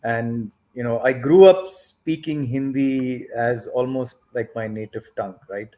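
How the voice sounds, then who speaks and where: A man from eastern India, raised with Tamil at home, joins a casual panel discussion. He speaks at a middle pitch in a reflective, gently self-mocking way. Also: measured pace. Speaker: panel guest.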